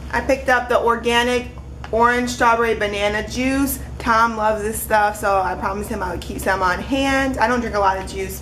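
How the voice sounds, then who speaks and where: A woman's voice: fairly high pitch, a bit bright, a little hiss or hum, low-quality recording. A young woman in her twenties chatting casually in a home kitchen, holding up groceries one by one for a webcam vlog. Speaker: vlogger.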